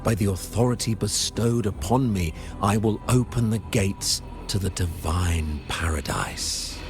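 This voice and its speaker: An actor playing a solemn, menacing, self-righteous priest in a video game, with grandiose preachy declamation. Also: male voice, on the low side, measured pace, over music. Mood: solemn zeal. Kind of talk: theatrical video game voice acting.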